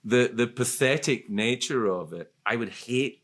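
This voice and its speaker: A man in his sixties, hosting a candid conversation, talking in a reflective, halting way full of restarts. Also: mid-range voice, expressive, fairly bright tone. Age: 60s. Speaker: podcast host.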